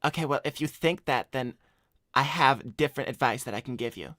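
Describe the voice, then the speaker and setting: A man's voice: mid-range voice, a little fast, bright, forceful tone, dry recording, clean studio sound, natural voice. A man voicing a cocky, broke hedgehog slacker in an improvised cartoon livestream, casual, blunt and self-assured. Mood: matter-of-fact. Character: cocky, broke male hedgehog slacker giving shady advice. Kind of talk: improvised in-character livestream chat.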